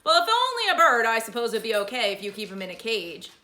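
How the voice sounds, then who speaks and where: A woman's voice: on the high side, sing-song, fast, pushed and bright. A woman voicing a puzzled, folksy male policeman who talks hesitantly, recorded in an echoey room.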